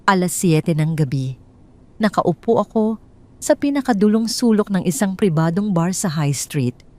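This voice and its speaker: Woman narrator, low voice, scripted drama reading, measured and icy. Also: lively intonation, warm tone. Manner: measured, bitter and cold. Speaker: storyteller.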